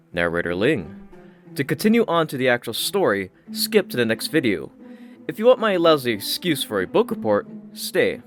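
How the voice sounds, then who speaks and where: A man delivering scripted narration in a measured, theatrical storyteller manner, as the narrator of an audio story. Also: mid-range voice. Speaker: audiobook narrator and channel host. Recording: music behind the voice. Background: instrumental music.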